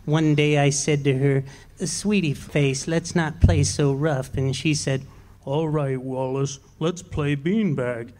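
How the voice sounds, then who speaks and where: An actor playing a meek, henpecked middle-aged husband in a live radio play, speaking softly and hesitantly. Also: male voice, a little high, steady tone, slow pace. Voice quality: soft, mild and hesitant. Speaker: amateur actor.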